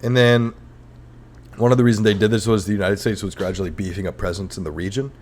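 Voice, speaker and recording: A man's voice: fairly low pitch, fast talker, darkish tone, slight background noise. A man hosting a comedic history podcast in a rambling, sarcastic, self-mocking monologue. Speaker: podcast host.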